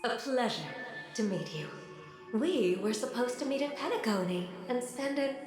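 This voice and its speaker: A woman's voice: fairly high pitch, animated, moderate pace, natural voice. A woman playing an elegant, self-assured villain in a video game, sinister and silky with mock courtesy. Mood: coolly taunting. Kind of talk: video game character acting. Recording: heavy echo, music underneath.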